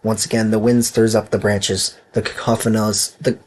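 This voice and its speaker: A young man with an Italian accent, reading a visual novel aloud on stream, stumbling over words and breaking into loud outbursts. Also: lowish voice, little pitch movement, moderate pace.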